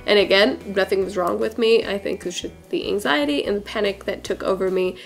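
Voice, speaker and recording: Creaky-voiced woman, chatting casually. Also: medium pitch, a little fast, soft background music. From born in Portugal, raised in California from about age four. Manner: chatty, informal, peppers talk with "like" and "literally". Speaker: hairdresser and beauty vlogger answering questions.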